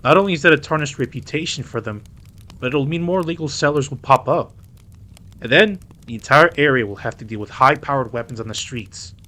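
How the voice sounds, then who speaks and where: A man's voice: mid-range voice, a little fast, bright, forceful tone, lightly acted. A man playing a dominant, teasing mafia boss in a roleplay audio drama, commanding and sometimes slipping into Spanish. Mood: indignant, serious. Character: dominant, teasing male mafia boss, indignant yet protective.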